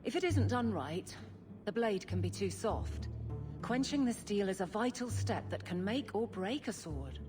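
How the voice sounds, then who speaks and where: An actress playing a blunt, earnest woman guard captain who gets flustered and halting, in cinematic video game dialogue. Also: medium pitch, animated, music in the background, close to an everyday voice. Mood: awkward, stiff.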